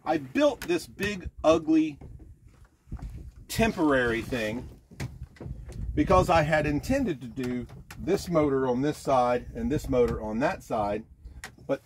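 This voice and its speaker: Older man, high-ish voice, animated rambling demo talk, outdoors on a boat, motor and water noise. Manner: lively, rambling, self-correcting. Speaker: boat builder demonstrating motors. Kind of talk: casual product demo. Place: small open boat on a lake, outdoors.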